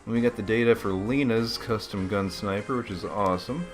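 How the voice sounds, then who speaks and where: A man with a fairly low voice talks over music as he commentates a video game. He is casual and laid-back, rambling along in slang like a let's play host. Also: brisk.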